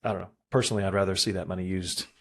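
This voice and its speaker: Man, podcast guest, fairly low voice, earnest, restarts his words. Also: fairly even intonation, very fast, a bit bright. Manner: earnest, repeats words while thinking. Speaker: chamber of commerce chairman, guest. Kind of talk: casual interview chat.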